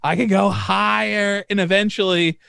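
A man with a high voice trades wry, joking asides on a casual music podcast. The sound is dry and close, with no room around it. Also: steady tone, normal speed, gravelly, fairly bright tone, smooth, no room sound. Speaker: podcast co-host.

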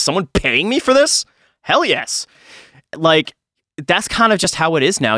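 A man co-hosting a podcast, talking casually in an animated, slangy way. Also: medium pitch, no room sound, clean studio sound. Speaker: podcast co-host.

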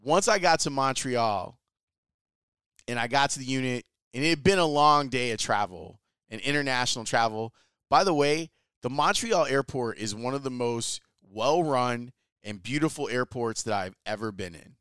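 A man's voice: mid-range voice, lively intonation, normal speed, a bit bright, clean tone, no room sound, clean studio sound. A man casually telling a travel story in a chatty, enthusiastic way, using slang. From Chicago, US. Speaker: sports reporter.

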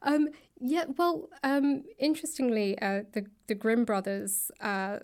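A woman giving thoughtful, conversational interview answers, pausing often and a little hesitantly between phrases. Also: fairly high pitch, steady tone. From grew up in the UK and India. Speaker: guest, author and actor. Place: indoors, upper floor of a public library.

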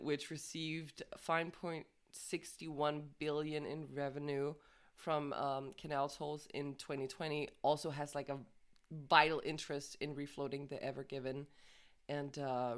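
A woman's voice: low-pitched, flat delivery, normal speed. A woman co-hosting a podcast, reading news casually, stumbling over words, swearing and laughing often. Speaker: podcast co-host.

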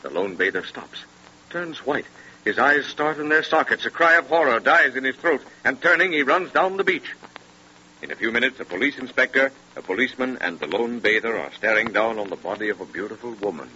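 A man playing a solemn, ominous true-crime narrator in 1930s radio style, grave, theatrical and deliberate. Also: mid-range voice, expressive, sharp tone, a little hiss or hum. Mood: suspenseful. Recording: dull, lo-fi 1930s radio recording.

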